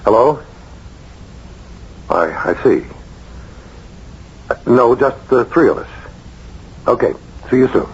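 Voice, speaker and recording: An actor in an old-time radio drama playing a polite but firm police chief, official and slightly hesitant. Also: male voice, mid-range voice, expressive, slow pace, pauses often, darkish tone, a little hiss or hum. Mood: urgent, controlled. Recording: dull old radio broadcast.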